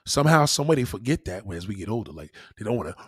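A man's voice: on the low side, sing-song, racing, slightly rough, no room sound, studio quality. A man giving a blunt, profane opinion monologue in a casual, lecturing way, repeating points for emphasis. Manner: emphatic, repeats himself for stress.

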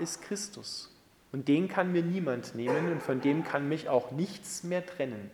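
A man delivering a sermon as a preacher, in an emphatic, rhetorical manner that swings between forceful and hushed. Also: on the high side. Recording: long hall reverb.

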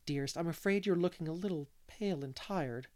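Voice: mid-range voice, fairly quick, a little mellow, natural voice. A reader doing an earnest young schoolteacher. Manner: earnest, reassuring. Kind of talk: audiobook character reading.

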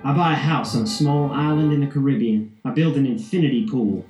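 Male actor as driven burnt-out banker, warm dark tone, poetic confessional delivery, vintage radio play, crackly echo, music behind. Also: medium pitch, fairly quick, close to an everyday voice. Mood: dreamy. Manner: poetic, intense first-person confession. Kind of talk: dramatic monologue in a 1940s-style radio play.

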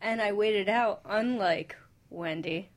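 A woman's voice: mid-range voice, slow pace. A woman chatting candidly and bawdily as a panelist on a radio talk show. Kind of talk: candid, bawdy casual chat.

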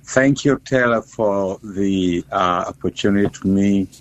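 A man with a South Sudanese accent, a bishop speaking haltingly and deliberately as an interview guest over the phone. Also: lowish voice. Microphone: telephone. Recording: dull, muffled phone line.

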